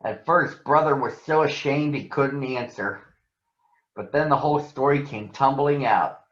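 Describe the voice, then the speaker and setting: A man reading a children's story aloud in an expressive, measured manner. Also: medium pitch. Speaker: storybook reader. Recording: dull, echoey room.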